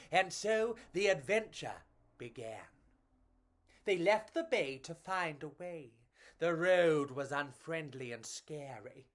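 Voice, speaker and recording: A woman reads a storybook for children in a slightly low, richly varied voice. Her delivery is theatrical and playful, taking its time over each phrase. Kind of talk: expressive storybook reading for children.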